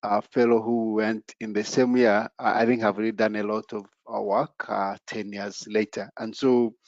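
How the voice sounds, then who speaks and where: Low, flat-voiced man on a muffled call. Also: dry recording. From Democratic Republic of the Congo, raised in Uganda. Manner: halting, filled with "uh" and "you know". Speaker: panelist. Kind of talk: personal story on an online panel. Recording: muffled video call audio.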